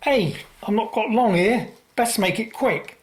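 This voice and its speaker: A woman's voice: medium pitch, unhurried, close to an everyday voice. A woman playing a busy, irritable male office manager in a radio play, brusque and impatient. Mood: irritated.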